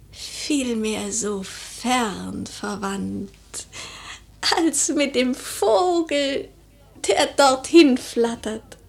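A woman's voice: high-pitched, gravelly, exaggerated voice. An actress playing a wistful, brooding young noble lady in a classic German radio play, with lyrical, theatrical delivery. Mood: brooding, anguished.

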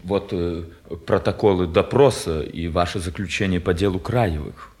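A man's voice: sits low, moderate pace, darkish tone, close to an everyday voice. An actor playing a deferential male subordinate, an official's assistant, in a 1950s Russian stage drama. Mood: deferential, uneasy.

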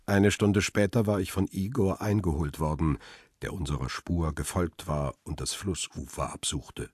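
Weary crime-drama narrator, very low pitch, husky, dry close sound, measured and subdued. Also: male voice, a little mellow, no room sound. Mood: sober, grim. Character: weary, lovelorn male paparazzo narrating his thriller story. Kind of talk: radio drama first-person narration.

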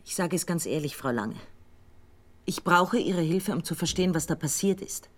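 An actress playing a calm, controlled intelligence colonel who questions a suspect in a radio drama. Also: female voice, on the low side, normal speed, close to an everyday voice. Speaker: intelligence officer.

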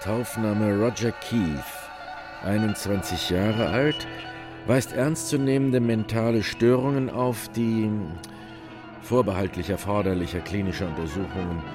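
A man acts a clinical doctor in a radio play, dictating formally. He is detached and officious in a fairly low voice, with faint music behind. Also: natural voice. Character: pompous clinical male doctor dictating psychiatric report.

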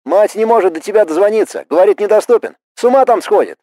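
A man voicing an anxious, exasperated younger brother, a sharp-tongued medical student who scolds in an audiobook story. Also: high voice, lively intonation, fast talker, no room sound, pitched above the performer's own voice. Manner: agitated, scolding.

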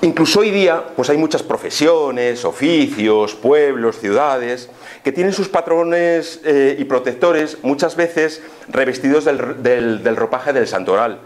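A man's voice: mid-range voice, lively intonation, unhurried. A man with an accent from Spain gives an informal explanatory lecture, speaking didactically with rhetorical questions and little check-in tags. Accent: Spanish, from Spain. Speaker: lecturer on spiritism.

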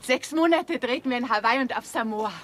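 Actress as seductive, high-voiced Hollywood film star. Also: female voice, fairly quick, fairly bright tone, natural voice. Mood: frank, bitter. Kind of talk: 1960s German radio crime drama acting. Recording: 1960s radio recording, faint background noise.

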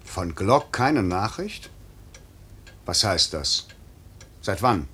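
A stern, gruff airline director in an old radio play. His voice is slightly husky and swings widely between clipped orders and low muttering. He is middle-aged, exacting and impatient. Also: sing-song, very slow, sharp tone, heavily acted. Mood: urgent, impatient. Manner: clipped commands and impatient rebukes. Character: stern, demanding airline director, gruff but secretly fond. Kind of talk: 1950s German radio drama acting. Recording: slightly muffled 1950s radio recording.

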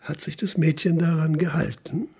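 An actor playing a stern police investigator in a radio drama, laying out accusations insistently and deliberately in a gravelly voice. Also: male voice, fairly high pitch, fairly even intonation, warm tone. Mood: insistent, accusing. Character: stern, relentless police investigator interrogating a suspect. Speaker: narrating police official. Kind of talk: German radio drama, interrogation.

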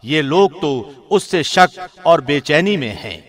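Male translation reader, slightly high pitch, formal radio diction, measured scripted scripture narration. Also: expressive.

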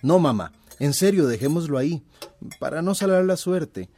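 An actor playing an earnest, hopeful young student son in a radio drama. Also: male voice, a little high, lively intonation, normal speed, mellow. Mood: cagey, hopeful. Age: young adult.

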